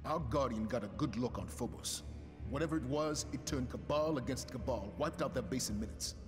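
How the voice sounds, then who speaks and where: An actor playing a stern, duty-bound military commander in a video game, firm, formal and authoritative. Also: male voice, mid-range voice, fairly quick, natural voice. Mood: stern, urgent. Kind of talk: cinematic game acting. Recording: reverberant hall, music behind.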